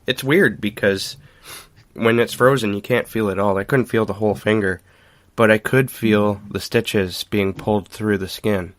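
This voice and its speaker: A man hosting a podcast in casual chat, telling things in a halting, informal way with frequent chuckles. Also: lowish voice, fairly even intonation, no room sound. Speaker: podcast host.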